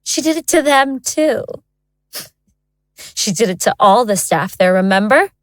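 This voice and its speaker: A woman's voice: a little high, expressive, a little slow, dry recording, studio quality. A woman playing an anguished, insistent wife and mother in an audio horror drama, with an emotional delivery. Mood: bitter, insistent.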